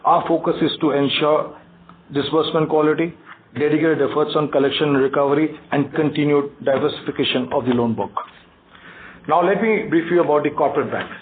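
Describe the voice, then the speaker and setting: Phone-line Indian businessman, halting financial briefing. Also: fairly high pitch, fairly even intonation. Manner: halting, stumbles and repeats words. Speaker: bank executive on an earnings call. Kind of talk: prepared financial briefing read aloud. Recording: tinny phone conference line, faint noise.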